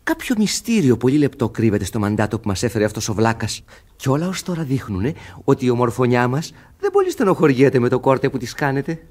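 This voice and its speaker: An actor playing a shrewd, deferential manservant in a theatrical radio comedy, with a knowing, polite manner. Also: male voice, mid-range voice, huge pitch swings, a little mellow.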